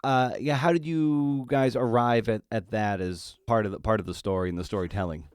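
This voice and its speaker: A man's voice: fairly low pitch, steady tone, fairly quick, smooth, dry recording, clean studio sound. A man hosting a podcast and putting questions to his guest in a casual, conversational style. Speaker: podcast host, interviewer.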